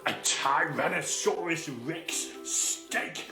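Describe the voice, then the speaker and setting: A woman's voice: deep voice, takes it slowly, over music. A woman voicing a childlike, greedy and fanciful fuzzy puppet in a children's comedy sketch, relishing each item in an earnest way. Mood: dreamy, greedy. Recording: roomy echo.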